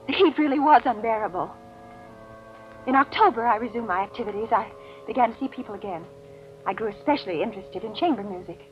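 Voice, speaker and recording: A woman's voice: on the high side, expressive, quick pace, lightly acted. An actress in vintage radio drama playing a tense, proper, guarded woman who is easily rattled, speaking with prim, nervous insistence. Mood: composed narration. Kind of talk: 1940s-50s radio drama acting, dialogue and narration. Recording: dull vintage radio recording, faint music.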